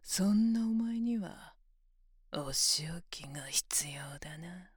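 A domineering, scornful female examiner, played by a woman, talking close to the ear in a low, husky whisper. She takes her time, drawing out every taunt. The sound is dry, with no room around it. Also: takes it slowly, a little mellow, no room sound, full character voice. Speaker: voice actor in an audio roleplay. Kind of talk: whispered ASMR roleplay.

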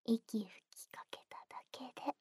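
A young woman voicing a playful junior who teases the listener, moving between soft speech and close whispers with frequent giggles. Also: normal speed, close to an everyday voice. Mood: teasing. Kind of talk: whispered ASMR roleplay.

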